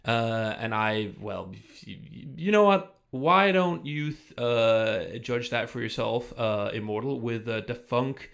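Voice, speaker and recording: Man, gravelly voice, chatty and rambling, stream host, close studio mic, no pop filter. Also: mid-range voice, slow pace, few pauses, fairly bright tone. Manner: rambling, self-interrupting, chatty. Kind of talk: casual live-stream chat. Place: room in Germany. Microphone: studio microphone without pop filter.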